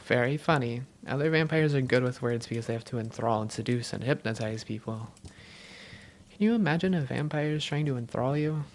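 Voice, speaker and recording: A woman acts out an affectionate vampire girlfriend with a low voice full of gravelly fry. She talks intimately and teasingly, a little halting and unsure of herself, as in a cozy roleplay audio. There is faint noise behind her. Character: affectionate, self-doubting vampire girlfriend, playful and tender. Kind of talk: cozy roleplay audio, one-sided conversation.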